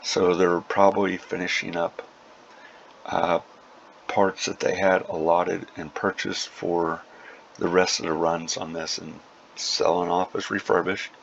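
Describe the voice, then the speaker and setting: A man hosting a casual prepper video update, talking informally in short, halting phrases. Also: deep voice, level tone, vocal fry. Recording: dull lo-fi sound with faint noise.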